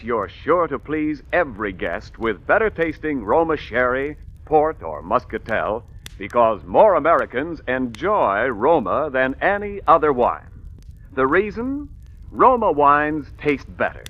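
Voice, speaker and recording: Animated old-time radio pitchman. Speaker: commercial announcer. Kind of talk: polished 1940s radio advertising read. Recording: muffled vintage radio recording.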